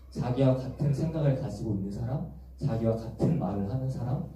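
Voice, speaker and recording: Young guy preaching to the youth group, lively up-and-down voice, heavy hall echo. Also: medium pitch, dull audio. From Busan, South Korea. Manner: gentle, explanatory, asks the congregation questions. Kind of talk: sermon. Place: large echoey church hall. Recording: echoey church hall from far off.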